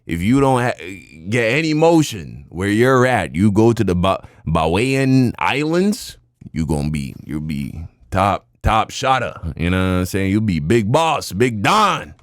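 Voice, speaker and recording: A young man from Jamaica with a low voice co-hosts a reaction chat. He talks brash and slangy, and his pitch swings widely up into loud shouts. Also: big pitch swings, unhurried, dry recording, studio quality. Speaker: reaction co-host. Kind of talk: crude casual reaction chat.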